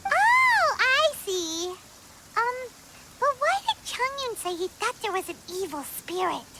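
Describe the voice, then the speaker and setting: Squeaky, very high-pitched little fairy girl. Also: sing-song, slow pace, noisy background, heavily acted. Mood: curious. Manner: exclamatory, childlike. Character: tiny excitable floating fairy companion girl, childlike and curious. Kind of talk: video game voice acting.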